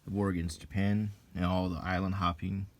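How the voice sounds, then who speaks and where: Man, very deep pitch, halting musing commentary, ASMR-style, unscripted, faint background noise. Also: level tone. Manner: musing, halting delivery with pauses. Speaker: video host reviewing an old magazine.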